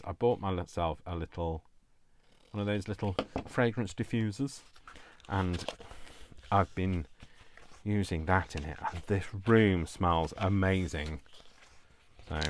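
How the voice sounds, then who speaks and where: A man with a deep voice chatting casually as he hosts a craft video, playful and self-deprecating, rambling off into asides. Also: a little hiss or hum.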